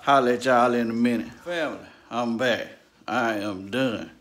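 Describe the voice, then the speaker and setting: A young man with an easy, laid-back delivery chats through his cooking for a family vlog. His voice sits at a middle pitch. He keeps calling his viewers family. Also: normal speed, a bit bright. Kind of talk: casual family vlog chat.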